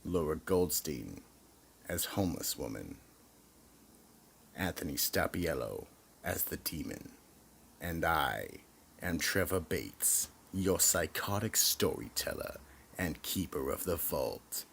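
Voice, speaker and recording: Male announcer for horror narration. Extremely low, unhurried, dramatic swoops in pitch. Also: very animated, creaky, a bit bright, slight background noise. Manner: slow, theatrical, ominous. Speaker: storyteller, announcer.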